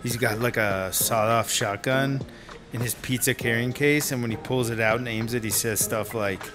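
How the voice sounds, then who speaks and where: An actor playing a laid-back slacker screenwriter in telesales, rambling and slangy in a radio drama. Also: male voice, medium pitch, normal speed, close to an everyday voice. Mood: animated storytelling. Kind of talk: naturalistic radio drama acting. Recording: music under the dialogue.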